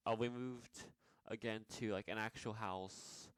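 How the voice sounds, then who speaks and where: Young male vlogger from Nepal, low voice, very clear and dry, halting and full of fillers. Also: fairly even intonation, fairly bright tone, no echo. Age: young adult. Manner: hesitant, many fillers and restarts. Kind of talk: rambling personal vlog.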